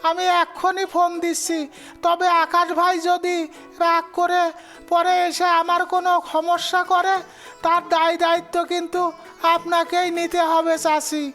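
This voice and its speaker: নারীর কণ্ঠে খুব চিকন, একটানা সুরের ভীতু গ্রামবাসী. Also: female voice, very high-pitched, monotone, normal speed, fairly bright tone. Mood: pleading, wary. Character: nervous, pleading young villager giving in. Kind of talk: melodramatic cartoon dubbing. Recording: music behind the voice.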